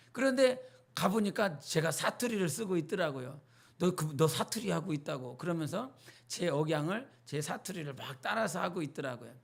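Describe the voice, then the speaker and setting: A man's voice: sits high, vocal fry. A male pastor with a North Jeolla accent, preaching a midweek sermon in a chatty, storytelling way and putting questions to the congregation. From North Jeolla, South Korea.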